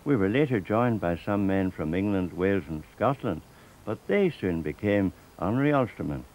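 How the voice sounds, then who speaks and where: An older man with a Northern Irish accent, reading a scripted documentary narration in a measured, steady way. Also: low voice, low-quality recording. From Northern Ireland.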